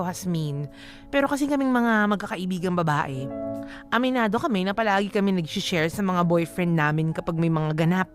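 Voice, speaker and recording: A Filipina radio host talks in a mid-range voice over light music. She is chatty and opinionated, mixing slang into a conversational commentary. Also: mid-range voice.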